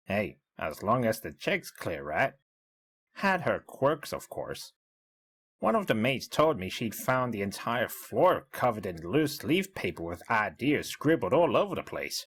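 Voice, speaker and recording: Audio story: male reader as wry small-town B&B owner, husky, mid-pitched, very animated and chatty. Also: exaggerated voice. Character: chatty, mildly skeptical small-town bed-and-breakfast owner. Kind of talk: first-person diary narration in an audio story.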